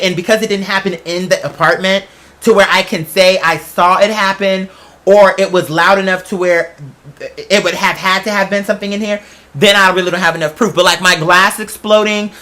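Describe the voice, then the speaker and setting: Bright, chatty storyteller with slangy emphasis. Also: medium pitch. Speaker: podcast host. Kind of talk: casual rambling storytelling to an audience.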